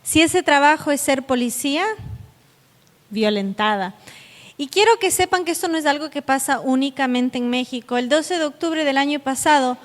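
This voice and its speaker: A woman's voice: sits high, moderate pace. A South American woman giving a public lecture, speaking earnestly and directly to her audience. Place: conference hall. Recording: echoey hall with long reverb.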